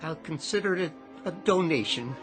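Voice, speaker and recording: A mild reverend in a radio drama. His voice is fairly high and humble. Music is clearly heard behind him. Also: animated, moderate pace, fairly bright tone. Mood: humbly grateful.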